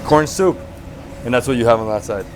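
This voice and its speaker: A man's voice: mid-range voice, a little fast. A man hosting a food vlog, chatting casually with cooks in a kitchen and asking lots of questions. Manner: curious, asks lots of questions. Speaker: travel food vlogger host. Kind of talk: casual on-location chat. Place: restaurant kitchen. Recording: noisy kitchen background.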